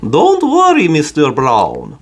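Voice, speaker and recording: Woman as a kindly storybook gentleman, widely swooping intonation. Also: sits low, huge pitch swings, takes it slowly, husky, voice pushed up, exaggerated voice. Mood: reassuring. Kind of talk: children's storybook read-aloud.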